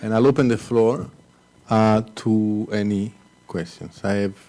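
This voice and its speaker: A man chairs a panel and hands the floor to the audience, courteous and a little hesitant. His voice is low and dark. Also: steady tone, unhurried. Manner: hesitant and courteous, with many fillers. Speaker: session moderator.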